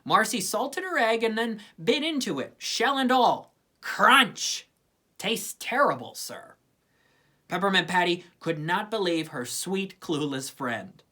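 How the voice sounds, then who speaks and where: A woman's voice: mid-range voice, animated, unhurried, sharp tone. A woman reading a children's story aloud with plenty of expression. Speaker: storybook reader.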